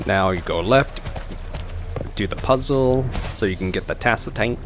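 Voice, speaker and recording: A man's voice: fairly low pitch, normal speed. A man giving casual commentary as he plays and reacts to a video game. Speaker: gaming streamer reacting to a story quest. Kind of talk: casual let's-play commentary. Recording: game music and dialogue behind. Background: video game music.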